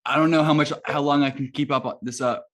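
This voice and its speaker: A man's voice: on the high side, fairly even intonation, fairly quick, fairly bright tone, natural voice. A man playing an earnest, brainy young rookie superhero with a hesitant manner, at a script table read. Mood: determined, strained.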